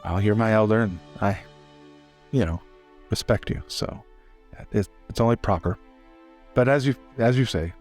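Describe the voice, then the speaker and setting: A man's voice: lowish voice, moderate pace, pauses often, darkish tone, natural voice. A man playing a respectful, world-weary navy sailor in a casual, hesitant way for a tabletop game. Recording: faint music behind.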